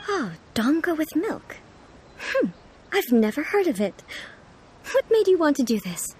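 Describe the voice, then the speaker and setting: A woman voicing an almighty female ruler in a video game, formal, measured and aloof yet curious. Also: sits high, sing-song, slight background noise. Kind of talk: scripted video game dialogue. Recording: background music under the voice.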